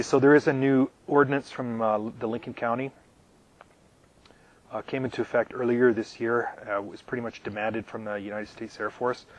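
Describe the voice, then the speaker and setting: A man talking live to his viewers from his camper out in the desert, his voice worn thin and his throat cleared again and again. He speaks at an ordinary middle pitch, halting through ums and repeated words as he answers questions. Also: poor audio. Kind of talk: live casual Q&A.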